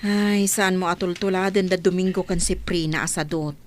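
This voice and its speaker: A woman's voice: fairly low pitch, steady tone, moderate pace, a little hiss or hum, lightly acted. A woman with an Ilocano accent playing a nosy, reproving office accountant in a comedy radio drama. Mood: kindly advising. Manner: questioning, reproving. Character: stern nosy female office accountant, a superior.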